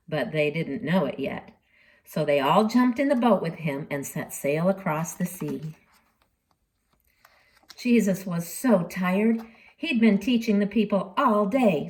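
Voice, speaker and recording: A woman storyteller reading expressively to children, dramatic in delivery, with deliberate pauses and emphasis. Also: fairly low pitch.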